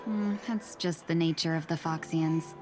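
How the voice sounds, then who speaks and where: A soft, courteous fox woman. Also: deep voice, fairly even intonation, a little slow, music in the background. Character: graceful fox-eared woman, poised and mysterious.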